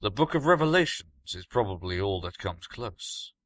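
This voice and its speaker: A man acts out a grave, learned countryman from a gothic storybook, full of secrets. The voice is bright and emphatic, with wide swings of intonation and a portentous air. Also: on the low side, sing-song, normal speed, no room sound. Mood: knowing.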